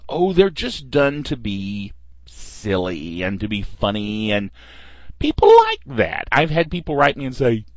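A man's voice: medium pitch, measured pace, dry recording. A man hosting a podcast, chatting wryly and reading listener mail aloud. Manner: lively, wry, chatty, reads listener email aloud. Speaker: podcast host. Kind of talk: casual podcast monologue. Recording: dull lo-fi podcast audio.

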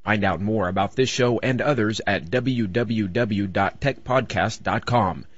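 Network announcer, male, low and husky, even scripted read. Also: a little slow, muffled recording.

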